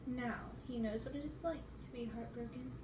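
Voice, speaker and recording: A woman's voice: on the high side, steady tone, normal speed, lightly acted. A woman voicing gleefully cruel, mocking witch sisters from a storybook. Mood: gleeful scorn. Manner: mocking, exclamatory. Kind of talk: storybook character reading. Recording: roomy, noisy, distant microphone.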